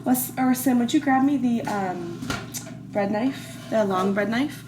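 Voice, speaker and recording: A woman's voice: on the high side, measured pace. A woman in her thirties with slight congestion from a cold, chatting casually in a cooking vlog at home. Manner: chatty and rambling, with many "like"s and restarts. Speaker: vlogger mom cooking for her family. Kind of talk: casual cook-with-me chat. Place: home kitchen, indoors. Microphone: camera set in a kitchen cupboard. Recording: clear background room noise.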